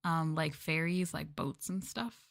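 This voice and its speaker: A soft-spoken woman chatting casually and playfully with viewers as the host of an ASMR livestream. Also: deep voice, measured pace, vocal fry. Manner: soft-spoken, playful, drifting into close-mic whispers. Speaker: VTuber streamer and host.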